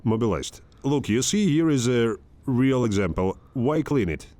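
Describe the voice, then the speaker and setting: A man's voice: mid-range voice, lively intonation, measured pace, dark tone. A man hosting an interview, pressing his guest with probing, challenging questions. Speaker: interviewer, host.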